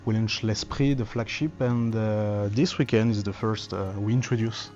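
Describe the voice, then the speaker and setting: A man representing a cable company in a trade show interview, speaking haltingly with many fillers as he searches for words. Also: on the low side, steady tone, darkish tone, dull audio, soft background music.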